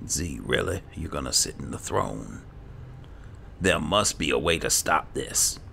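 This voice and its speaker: An extremely deep-voiced man with heavy vocal fry commentates a game in character. He is slow and laid-back, muttering to himself over noisy game ambience. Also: lively intonation, pushed and bright. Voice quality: gravelly. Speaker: gaming video host, player. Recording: clear game ambience noise behind. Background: video game ambience.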